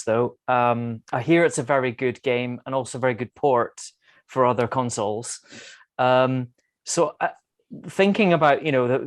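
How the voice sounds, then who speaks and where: A man on a panel giving his opinion in a casual, measured way, pausing between phrases. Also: mid-range voice, no echo, studio quality. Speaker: podcast panelist. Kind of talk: casual film discussion.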